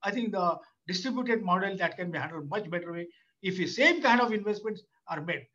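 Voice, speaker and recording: An older man with an Indian English accent giving an unscripted webinar talk, halting with many restarts and fillers, recorded over a video call. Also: high voice, expressive, a bit bright. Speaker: webinar speaker, former institute chairman. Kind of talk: unscripted speech. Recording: dull lo-fi webinar audio.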